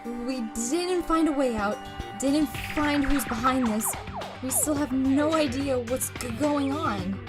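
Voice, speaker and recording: A woman voicing a blunt, upset teenage schoolgirl with halting, exasperated delivery, in an anime game fan dub. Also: high-pitched, over music.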